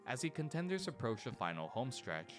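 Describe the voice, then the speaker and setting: A man reading scripted documentary narration in a steady storytelling manner, over soft music. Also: medium pitch, fairly quick.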